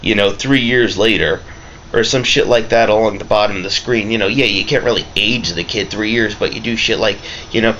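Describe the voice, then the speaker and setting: A low-voiced man talks off the cuff as an amateur reviewer, opinionated and casual. He halts and rambles, then leans hard on his points with the odd curse. The recording is dull and lo-fi, with faint background noise. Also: fairly even intonation, a bit bright. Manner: rambling, halting, emphatic, profane.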